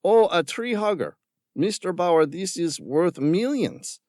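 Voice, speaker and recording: A man reading an audiobook puts on a greedy villain with a gun, sarcastic and patronizing, with an affected Spanish-tinged lilt. The voice sits well above the reader's own and swoops lively as it mocks. It is recorded close and dry. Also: sits high, slow pace, dry recording, pitched above the performer's own voice. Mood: sneering. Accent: affected Spanish-tinged accent. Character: sneering, greedy male villain caretaker with gun. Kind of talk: audiobook character voice.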